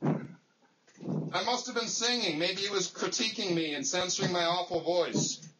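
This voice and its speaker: Goofy guy on a video call, bright voice, kinda husky, big announcer-style hype. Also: sits high, unhurried, poor audio. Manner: hammy, exuberant announcer bursts. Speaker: social media speaker on a video call. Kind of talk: playful casual chat. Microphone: webcam on a video call.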